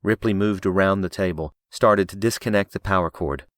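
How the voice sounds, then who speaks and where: A man's voice: low-pitched, brisk, a bit bright, no room sound. A man reading scripted audiobook narration as a professional narrator, close on a studio mic.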